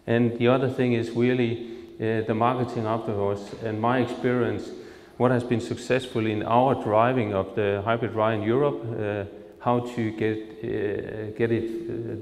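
A man in his fifties with a Danish accent, speaking English as a second language, gives a presentation to farmers into a handheld mic, pausing often with filler sounds as he searches for words. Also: on the low side, darkish tone. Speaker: seed company product manager. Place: large hall with projector screen. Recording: long reverb from a large hall.

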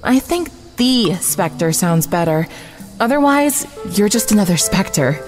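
A woman's voice: medium pitch, animated, slow pace, darkish tone, soft background music. A woman playing a lonely, possessive, childlike snake woman in an audio drama. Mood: curious, playful.

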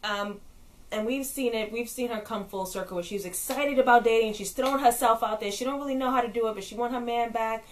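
A woman's voice: a little high, quick pace. A young woman with a stuffed-up nasal voice from a cold, chatting casually and slangily as she reviews a show on her vlog. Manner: chatty, slangy, animated, laughs often. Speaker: vlogger, TV reviewer. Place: indoors, in front of a backdrop.

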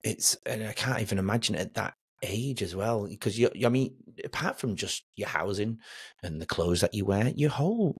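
Low, husky middle-aged male podcast host. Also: animated, moderate pace, dry recording, studio quality. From outside Australia. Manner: empathetic, affirming. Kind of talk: casual interview chat. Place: home room with shelves, indoors. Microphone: close desk podcast mic, headset.

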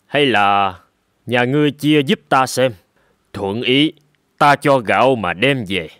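An actor playing a dignified, kindly older district chief with stately authority in an animated folk tale. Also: male voice, mid-range voice, slow, dry recording, studio quality. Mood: genial, testing.

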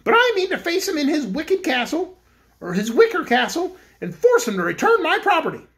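A woman's voice: sits high, very animated, brisk, voice pushed up. A woman voicing a determined woman cook who speaks in an earnest, pleading way.

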